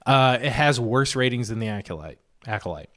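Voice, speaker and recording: A man's voice: mid-range voice, expressive, brisk, fairly bright tone. Rambling, joking podcast co-host man. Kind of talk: casual chat.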